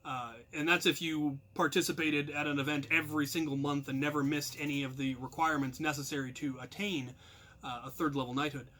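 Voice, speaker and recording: An American man telling a casual, rambling personal story in an animated, self-deprecating way. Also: fairly high pitch, brisk. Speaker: storyteller and channel host.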